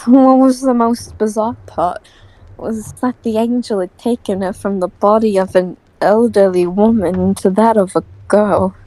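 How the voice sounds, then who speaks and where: A woman's voice: mid-range voice, fairly even intonation, unhurried, mellow, no room sound, close to an everyday voice, rough recording. A woman voicing a proud, easily exasperated young aristocratic boy in an audio drama, with a dry, formal, indignant way of talking. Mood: earnest recounting.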